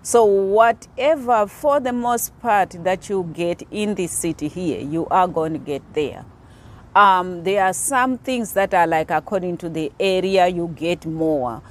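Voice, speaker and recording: A woman's voice: lowish voice, measured pace. A middle-aged woman with a Zimbabwean accent, talking to the camera on an outdoor patio in an earnest, explaining way with many fillers. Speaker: vlogger. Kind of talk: casual talk to camera.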